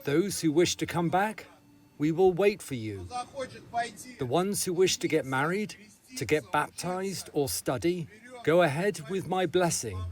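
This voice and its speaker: A man's voice: fairly high pitch, animated, measured pace, a crowd in the background. A man giving a direct, commanding, informal speech to a group as their leader. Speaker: leader addressing released recruits.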